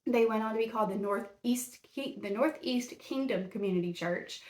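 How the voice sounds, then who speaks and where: A woman with a Middle Tennessee Southern accent chats through a true crime episode, folksy and rambling, laughing at her own stumbles. Her voice sits a touch high. The recording has a noticeable room echo. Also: moderate pace, a little mellow. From Tennessee, USA. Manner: folksy, rambling, self-deprecating, many fillers. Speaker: true crime podcast host. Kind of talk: casual chatty podcast. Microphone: camera and mic.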